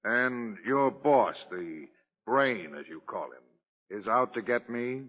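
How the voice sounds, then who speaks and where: A man's voice: on the low side, expressive, takes it slowly. An actor playing a brusque, authoritative male prison warden, curt and commanding, in 1940s radio drama. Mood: stern. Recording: muffled old radio recording.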